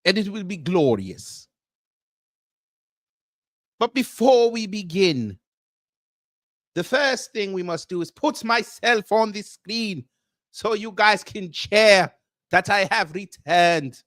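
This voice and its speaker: A pompous, jet-setting self-styled prince with a put-on Nigerian accent, as a man acts him out for a livestream. He talks in a high, slow, deliberate way, formal and grandiose. The recording is close and dry. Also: expressive, fairly bright tone, dry recording, heavily acted. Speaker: livestream host. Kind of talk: comedic character livestream.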